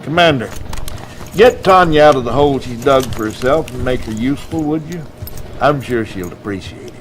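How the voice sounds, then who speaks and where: An actor playing a gruff, blustery middle-aged army general in a video game cutscene, blunt and folksy in manner. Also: male voice, mid-range voice, lively intonation, normal speed, lightly acted. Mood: exasperated.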